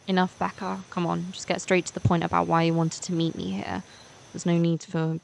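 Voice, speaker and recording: A woman's voice: lowish voice, flat delivery, fairly quick, vocal fry, slight background noise. A young woman playing a hurt, unforgiving teenage schoolgirl in an amateur voiced game series, speaking in an emphatic conversational way. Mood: impatient.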